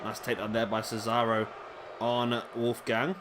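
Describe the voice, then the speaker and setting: A man giving casual play-by-play commentary on a wrestling video game, chatty with rambling asides to viewers, his voice going a little hoarse. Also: mid-range voice, monotone, moderate pace, heavy background noise. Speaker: gaming commentator. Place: home room, late at night.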